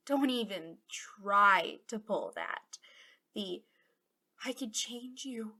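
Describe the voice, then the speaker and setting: A taunting villainess played by a woman in an ASMR roleplay, her voice fairly high and very breathy, often slipping into a whisper. She drawls slowly and mockingly, with the bitterness of a fallen hero. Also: pushed and bright, full character voice. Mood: dismissive. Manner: mocking, conspiratorial, drawn-out drawl.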